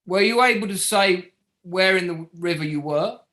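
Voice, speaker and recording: Man acting male counsel, bright crisp tone, fairly high, polite, methodical, courtroom drama read. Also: moderate pace. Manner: formal, considerate. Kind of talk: table read of a courtroom play.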